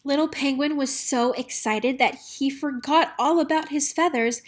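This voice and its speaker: Female storybook narrator, fairly high voice, expressive scripted read-aloud for children. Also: moderate pace. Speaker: storybook reader.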